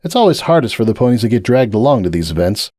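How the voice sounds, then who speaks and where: A man's voice: medium pitch, very animated, fast talker, mellow, dry recording, clean studio sound. Man playing a suave stallion bartender. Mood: welcoming. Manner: smooth and welcoming, a touch grand.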